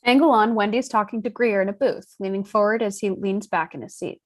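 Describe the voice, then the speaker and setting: A woman's voice: mid-range voice, fairly quick. A woman reading out scene directions as scripted narration at a screenplay table read. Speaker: reader of stage directions.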